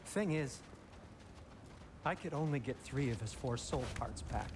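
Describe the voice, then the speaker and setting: A man's voice: mid-range voice, expressive, unhurried, noisy background, close to an everyday voice. An actor playing an anxious but kindly, fussy male dwarf in a video game cutscene. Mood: guilty, confessional.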